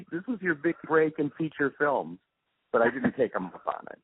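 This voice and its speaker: A man being interviewed, recalling his work in a casual, halting and reflective way, often trailing off mid-thought. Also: mid-range voice, sing-song, slight background noise. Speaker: interview guest, radio drama producer and sound mixer. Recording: muffled, narrow phone-like interview recording.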